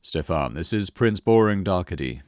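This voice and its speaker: A man voicing a composed, polite male knight in story dialogue, with controlled authority and quiet impatience. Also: low voice, lively intonation, normal speed, dry recording, clean studio sound. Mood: unruffled, curt.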